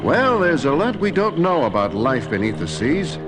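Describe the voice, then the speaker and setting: An earnest scientist dad, explains things in a fairly high male voice with very expressive pitch. It is classic cartoon adventure acting, with loud music behind him. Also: moderate pace. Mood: calm, explanatory. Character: knowledgeable, steady scientist father exploring the deep sea.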